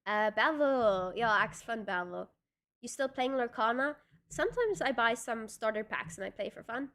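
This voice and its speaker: A woman's voice: on the high side, lively intonation, normal speed, a bit bright. A young woman from South Africa, a native Afrikaans speaker with a South African accent, chatting casually on a livestream, rambling with lots of fillers and restarts. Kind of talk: casual livestream chat reading viewer comments.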